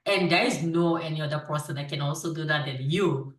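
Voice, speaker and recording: A woman's voice: deep voice, fairly quick. A woman hosting an interview, speaking with a thick accent and laughing easily as she teases her guest. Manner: laughs often, friendly teasing. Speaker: podcast host. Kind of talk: casual interview chat. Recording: echoey room, distant mic.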